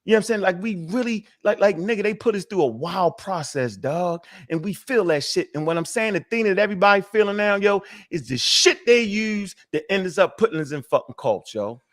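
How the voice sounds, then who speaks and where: A man's voice: high voice, animated, fairly quick, few pauses. A man hosting a casual talk show. He rants hard, swears a lot and trips over many restarts as he goes. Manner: fiery, profane, rambling with many restarts. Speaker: show host.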